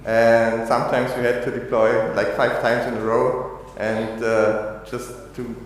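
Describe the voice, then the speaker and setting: A man from Austria giving a technical conference talk, halting with many fillers and restarts. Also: medium pitch, level tone. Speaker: conference speaker. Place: conference hall. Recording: echoey hall, long reverb.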